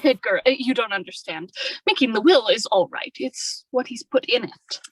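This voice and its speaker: An actress playing a strong-willed, politely persuasive young wife in an old-time radio drama, with a confiding, insistent manner. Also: female voice, fairly high pitch, lively intonation, moderate pace, slightly rough, poor audio. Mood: indignant.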